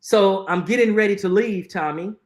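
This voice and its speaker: A middle-aged man with a somewhat high voice talks earnestly in an interview, pressing his points and repeating phrases for weight. The sound carries a noticeable room echo. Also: normal speed. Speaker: guest. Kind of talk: conversational interview, reflective storytelling.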